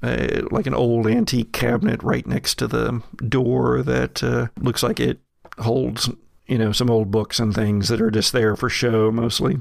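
A man's voice: mid-range voice, flat delivery, unhurried, creaky, dry recording, studio quality. A man as game master, improvising conversational narration for a tabletop horror game with frequent hesitations.

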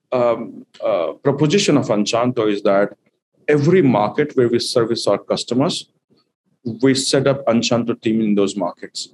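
A man explains his company's work in a business interview, fluent but full of fillers as he lays out each point. His voice sits in an ordinary middle pitch. A faint hum of room noise runs behind him. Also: a little mellow, poor audio. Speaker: company executive guest.

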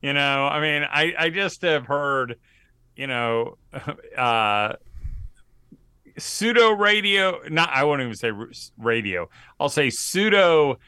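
Male co-host, crisp and bright, mid pitch, emphatic casual talk with dramatic pauses. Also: expressive, no room sound. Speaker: podcast co-host.

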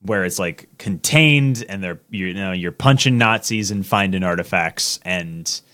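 A man's voice: sits low, animated, measured pace, a bit bright. A man talking casually and giving his opinions as co-host of a movie podcast.

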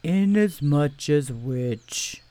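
A woman voicing a gloomy old grey male donkey in a storybook reading, speaking in a slow, sighing, mournful way. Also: deep voice, animated, warm tone, heavily acted.

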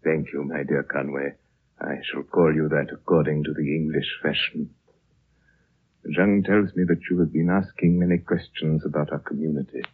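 Ancient gentle lama, deep and breathy, learned and courteous, vintage narrow-band radio drama. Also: male voice, steady tone, exaggerated voice. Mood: courteous, frail. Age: older. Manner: slow, courteous, measured storytelling. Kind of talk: 1930s radio drama acting. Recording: muffled, narrow-band old radio broadcast.

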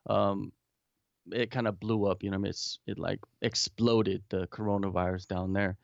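A man's voice: fairly low pitch, flat delivery, normal speed, vocal fry, dry recording, lo-fi sound. A man talking from home in a casual remote interview, rambling with many restarts and fillers. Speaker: guest, MMA interviewer and English teacher.

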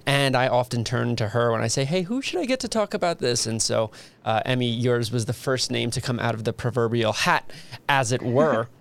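A man's voice: medium pitch, animated, brisk, gravelly. A man hosting an academic podcast, introducing his guest in a friendly, chatty way with light laughter. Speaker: podcast host. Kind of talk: casual interview.

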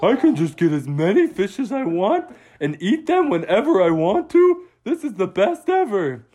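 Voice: medium pitch, sing-song, normal speed, mellow, pitched above the performer's own voice. A storyteller voicing a lazy, sleepy young male otter in an improvised bedtime story, with a dopey, whiny drawl. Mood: gleeful.

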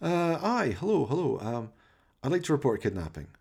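A man voicing a hapless, scruffy and loyal stoner in a radio play, flustered and excitable. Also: mid-range voice, a little fast, gravelly, a little hiss or hum, voice pushed up. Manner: hesitant, flustered, exclaiming.

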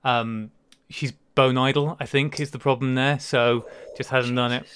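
A man's voice: mid-range voice, steady tone, a little slow, a bit bright, slight background noise. A man co-hosting a casual video-call podcast, teasing guests and laughing often. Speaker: podcast co-host. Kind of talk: casual chat.

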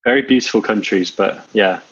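A man's voice: fairly low pitch, brisk, breathy edge. A man in his thirties from Wales, a podcast guest talking in an easygoing, laid-back, self-deprecating way. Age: 30s. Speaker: guest, coach and entrepreneur. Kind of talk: casual interview chat. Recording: dull lo-fi sound.

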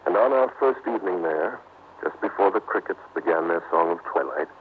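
An actor playing a suave, calm gentleman detective in an old radio mystery, polished, measured and dryly humorous, on a tinny, noisy recording. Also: low-pitched. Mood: grave storytelling. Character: suave, calm American gentleman detective, bantering newlywed husband. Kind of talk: old-time radio mystery acting and narration.

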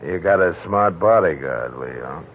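An actor playing a smug, menacing male gangster just out of prison, taunting in a smooth way, in an old radio drama. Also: low-pitched, lively intonation, measured pace, slight background noise. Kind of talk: 1940s radio acting. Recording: tinny old radio broadcast.

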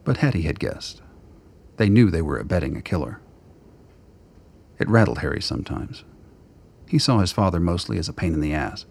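A man narrating a scripted radio drama in a measured, literary storytelling manner. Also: low voice, sing-song, gravelly, darkish tone, slightly rough.

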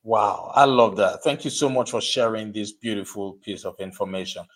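A man hosting a live podcast interview, urging his listeners in an emphatic, exhorting way and spelling out names slowly. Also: on the low side. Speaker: podcast host. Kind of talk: motivational interview show.

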